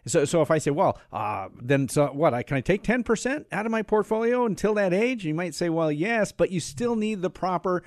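A man's voice: high voice, lively intonation, fairly quick, few pauses, dry recording, studio quality. A man hosting a talk about money, setting up examples in a hesitant way with many restarts. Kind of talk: conversational interview.

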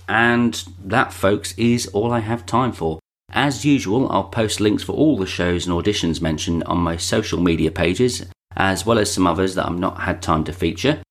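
A man presenting a podcast in a chatty, informal and gently self-deprecating way. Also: deep voice. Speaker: podcast host and interviewer.

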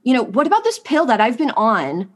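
A woman's voice: on the high side, huge pitch swings, a little fast. A young adult woman, sniffly from allergies, guesting on a podcast and telling her personal story in a rambling way, full of likes and you-knows. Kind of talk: candid personal story in conversation.

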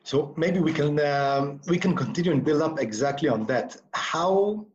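A man's voice: a little high, normal speed, highs cut off. A man hosting a podcast interview, introducing the show and asking questions hesitantly, with many ums and restarts. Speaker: podcast host.

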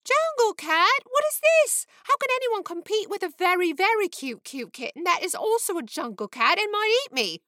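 A woman's voice: very high-pitched, sing-song, normal speed, few pauses, bright, forceful tone, dry recording, clean studio sound, pitched above the performer's own voice, exaggerated voice. A woman voicing a fretful, pampered female house cat who whines dramatically about being forgotten. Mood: alarmed. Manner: dramatic, anxious whining.

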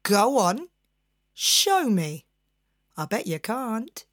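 Woman voicing a cheeky fisherman, mock-disbelieving, taunting, storybook reading, slow and sing-song. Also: on the high side, big pitch swings, a bit bright, no room sound, clean studio sound, heavily acted. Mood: teasing. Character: cheeky, scheming fisherman, a man.

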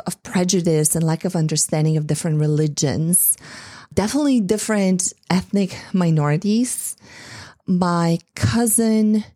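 A woman's voice: lowish voice, mellow, no room sound, studio quality. A woman in her forties gives an impassioned, earnest talk to women in tech, with many "like"s and restarts. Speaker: speaker addressing women in tech. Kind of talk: earnest speech to an audience.

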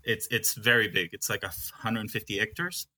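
This is a young man from Peru who runs a coffee farm. His voice sits slightly low but has a bright, forward tone. He speaks casually, with frequent restarts and filler words. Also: steady tone, moderate pace. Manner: hesitant, with many restarts. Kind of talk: casual interview.

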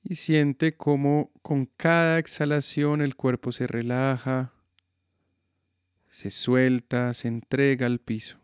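A man guiding a yoga nidra relaxation with slow, calm, soothing instructions. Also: medium pitch, clean tone, dry recording, clean studio sound. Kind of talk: guided relaxation meditation.

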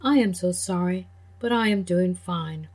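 A meek male donkey from a children's storybook, always saying sorry, his words soft and courteous, as a woman reads him aloud. His voice stays close to a plain reading voice. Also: fairly low pitch, a little slow. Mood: apologetic. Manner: politely apologetic, gentle.

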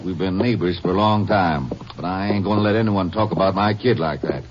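A man's voice: sits low, a little fast, lightly acted. A man playing a folksy, protective rancher father with a rural American accent in a 1950s radio drama. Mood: hearty. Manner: folksy, plain-spoken. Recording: noisy, muffled old radio recording.

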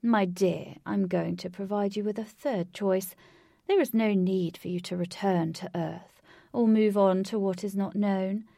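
A woman voicing a pompous male villain in a storybook, theatrical and gloating, booming out slow taunts. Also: fairly even intonation, gravelly, dark tone, no echo, studio quality. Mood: commanding, wooing.

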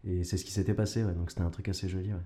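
A man's voice: low voice, rapid-fire. A young man from Canada, an actor, telling his story casually with slang and frequent restarts. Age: young adult. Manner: relaxed, slangy, stumbling restarts. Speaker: actor and guest. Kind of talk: casual conversation.

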